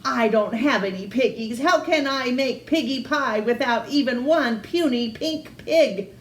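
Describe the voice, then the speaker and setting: A woman voicing a grouchy, greedy old witch who screeches and shouts. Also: fairly high pitch, unhurried, slight background noise, natural voice. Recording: echoey room.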